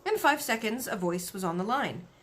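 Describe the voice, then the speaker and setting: A woman giving an expressive read-aloud of a children's book. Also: mid-range voice, normal speed. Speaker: story reader.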